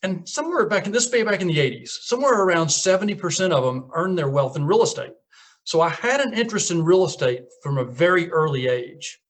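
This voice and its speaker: Brief: fifties Southern man, Tennessee accent, folksy storyteller voice, a bit higher pitched. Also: animated, brisk. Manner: folksy storytelling, chuckles at own lines. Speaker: guest property manager. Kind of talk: casual radio interview.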